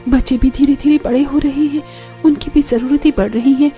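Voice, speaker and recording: A weary young cartoon farm wife with an extremely high, soft-toned voice, played by a woman. She laments plaintively about a hard village life, discouraged and worn down, over music. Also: steady tone, brisk, lightly acted. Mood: worried. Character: weary, discouraged young farming daughter-in-law in a poor village.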